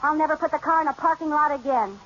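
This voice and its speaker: An actress playing a scatterbrained, dramatic young housewife in a 1940s radio comedy, flustered and expressive with quick comic timing. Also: female voice, high voice, natural voice. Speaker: lead actress in a radio sitcom. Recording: dull old radio broadcast with loud hiss.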